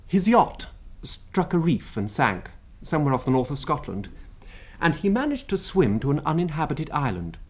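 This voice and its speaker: A man's voice: on the high side, very animated, normal speed. A man acting in a radio drama with a British accent, playing a talkative, wryly amused royal secretary with an expansive, emphatic, rhetorical manner. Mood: wry, reflective.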